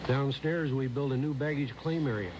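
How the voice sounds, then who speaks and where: An actor as a proud company boss, noisy tape. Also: male voice, mid-range voice, normal speed, close to an everyday voice. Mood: proud, assured. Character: proud male construction company president. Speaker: commercial spokesman. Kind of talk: scripted airline radio commercial in a staged scene. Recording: noisy old radio commercial tape.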